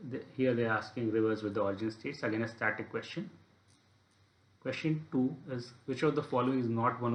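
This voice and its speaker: Male exam tutor, mid-pitched, methodical walkthrough delivery, noticeably roomy sound. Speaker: exam preparation instructor. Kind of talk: explanatory exam paper walkthrough. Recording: echoey room.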